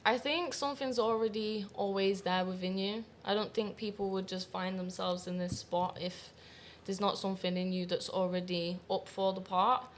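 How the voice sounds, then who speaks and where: A woman's voice: medium pitch, fairly even intonation, normal speed, few pauses, slight background noise. A confident woman, speaking candidly and laughing easily as an interview guest. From Manchester, England. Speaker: guest, professional dominatrix. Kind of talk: casual interview chat.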